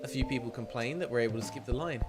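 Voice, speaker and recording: A man livestreaming a visual novel, switching between expressive reading and chatty, playful commentary. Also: medium pitch, very fast. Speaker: game streamer. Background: game music.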